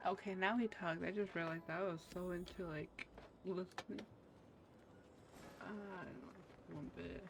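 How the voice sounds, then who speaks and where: A woman's voice: fairly low pitch, fairly even intonation, unhurried, gravelly, noisy background. A woman giving casual playthrough commentary as a game streamer, in informal muttered reactions. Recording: loud game music behind. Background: video game music.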